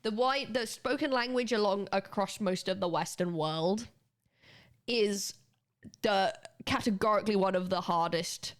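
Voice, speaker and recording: A woman's voice: medium pitch, unhurried. A British woman streaming, chatting casually and rambling with her viewers, swearing freely and often restarting her sentences. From Britain. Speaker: livestreamer.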